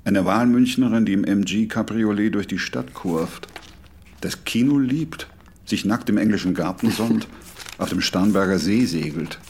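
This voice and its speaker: An actor playing a clipped, probing surveillance official in a radio play, reading out reports. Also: male voice, on the low side, a little slow, a little mellow, breathy edge. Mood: probing, officious.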